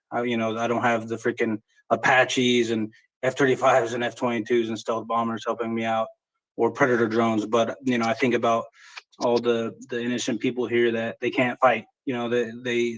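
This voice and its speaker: Flat-voiced military veteran man. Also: medium pitch, low-quality recording. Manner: halting, plainspoken, with casual swearing. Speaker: military veteran fighting in Ukraine. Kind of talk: personal account in a live audio chat.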